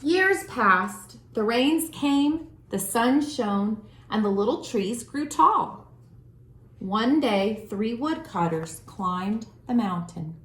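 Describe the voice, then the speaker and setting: A woman reading a children's storybook aloud as a storyteller, with expressive, deliberate phrasing. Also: fairly high pitch. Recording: roomy, echoing room sound.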